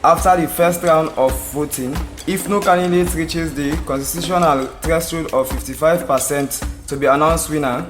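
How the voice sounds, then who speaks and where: A man's voice: fairly high pitch, fairly even intonation, normal speed, few pauses, over music, natural voice. A man with a Sierra Leonean accent playing a scheming village noble, speaking formally and persuasively in an audio drama. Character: scheming male village noble leading rival candidates.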